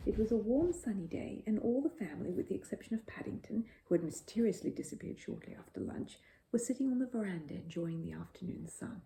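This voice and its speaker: Woman reading kids bedtime story, soft dark tone, really animated up and down. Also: mid-range voice, fast talker, vocal fry, slight background noise, low-quality recording. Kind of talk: expressive bedtime story narration.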